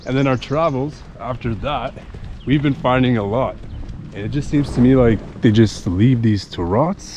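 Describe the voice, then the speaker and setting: A man's voice: medium pitch, normal speed, a little hiss or hum. A man vlogging as he explores abandoned grounds outdoors, chatting casually and joking around. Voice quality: animated, joking. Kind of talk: casual chat while walking.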